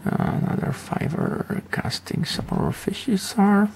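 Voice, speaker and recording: A man's voice: high voice, expressive, slow pace, vocal fry, slight background noise. A man giving a casual game tutorial in a rambling, offhand, hesitant way. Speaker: gaming video creator.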